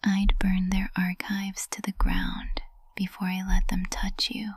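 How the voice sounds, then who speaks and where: A woman's voice: mid-range voice, completely flat delivery, husky, no echo. A woman playing a lovestruck witch professor in an intimate ASMR roleplay, whispering tenderly and breathily, close to the microphone. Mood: tender, vulnerable. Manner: slow, tender, intimate delivery. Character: lovestruck high witch professor, usually cold and composed, tender.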